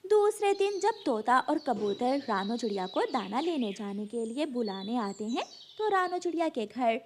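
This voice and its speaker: High-pitched woman narrating a children's story. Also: sing-song, moderate pace, darkish tone, a little hiss or hum.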